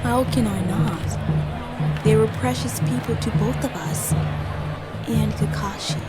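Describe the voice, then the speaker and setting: A woman acts a proud, feisty young wife from an anime audio drama. Her voice is high and spirited with a brash edge, and loud music plays underneath. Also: slow, a loud crowd. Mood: subdued. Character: feisty, proud, hot-tempered young wife in ninja village.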